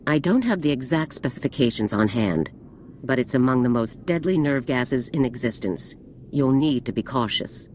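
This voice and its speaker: A woman's voice: very deep voice, expressive, normal speed, a little mellow, slight background noise. A woman playing a stern army general who gives orders in a clipped, unbending way in a video game cutscene. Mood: briefing. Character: stern female general, cold and commanding.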